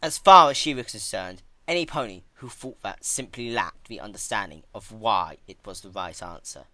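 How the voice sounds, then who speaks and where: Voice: medium pitch, lively intonation, moderate pace, sharp tone, no echo. A narrator giving a dramatic scripted reading of a story.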